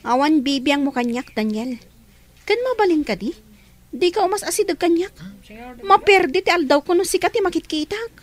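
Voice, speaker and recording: A woman playing a troubled, sharp-tongued teenage daughter in a radio drama. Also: extremely high pitch, expressive, normal speed. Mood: angry.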